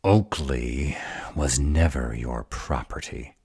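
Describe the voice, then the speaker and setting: Man acting a very deep-voiced, slow-talking were-dragon. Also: a little mellow, husky, no echo, clean studio sound, heavily acted. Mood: indignant. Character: protective, grumpy male were-dragon boyfriend. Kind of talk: fantasy roleplay audio drama acting.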